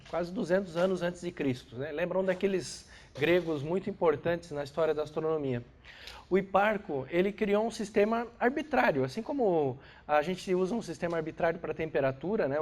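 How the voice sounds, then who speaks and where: A man's voice: sits high, a little mellow. A Brazilian man giving an astrophysics class lecture, congested with a cold, explaining didactically with short tag questions.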